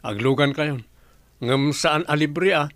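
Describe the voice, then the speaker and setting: A man playing a gruff, tight-fisted husband and tricycle driver in a radio drama, blunt and bossy, with an Ilocano accent. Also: fairly high pitch, measured pace, fairly bright tone, no room sound. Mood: stern, grudging.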